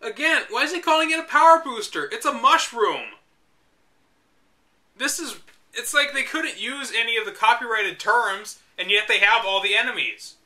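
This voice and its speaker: Bright, sardonic man reading aloud. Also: very high-pitched, normal speed. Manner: wry, exasperated asides. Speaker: reader and commentator. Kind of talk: reading a book aloud with sarcastic commentary.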